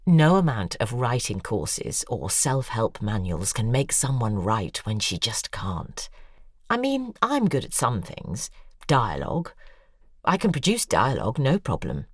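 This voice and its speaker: An actress playing a bitter, imperious older novelist in an audio drama, with a theatrical, insistent delivery. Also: female voice, extremely low pitch, huge pitch swings, a little slow, slightly rough, no room sound, clean studio sound, exaggerated voice. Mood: candid, sardonic.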